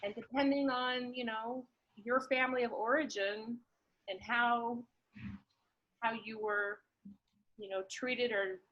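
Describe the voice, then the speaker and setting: A woman's voice: a little high, fairly even intonation, slow pace, muffled recording. A woman talking reflectively in casual conversation, thoughtfully searching for her words.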